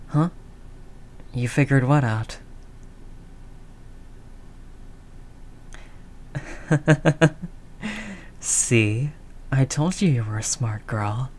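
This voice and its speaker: A woman playing a tough, doting mafia heiress girlfriend in an intimate audio roleplay, low-voiced, talking in affectionate slangy tough talk. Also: slow, darkish tone, exaggerated voice. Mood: amused, loving.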